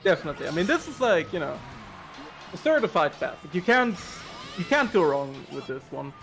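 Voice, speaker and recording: A man's voice: high voice, big pitch swings, fairly quick, gravelly, fairly bright tone, faint music behind. A man doing casual live fighting-game commentary, laid-back and joking, with frequent swearing. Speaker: esports commentator.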